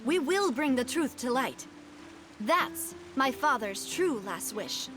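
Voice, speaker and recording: A determined young leader. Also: female voice, high-pitched, animated, takes it slowly. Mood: firm. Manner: earnest and firm. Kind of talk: video game voice acting. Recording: game audio with music behind.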